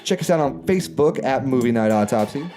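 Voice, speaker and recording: A man rattles off a quick podcast plug at a very fast pace. His delivery is brisk and upbeat, with loud music playing underneath. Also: mid-range voice, animated. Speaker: podcast host. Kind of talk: outro promo.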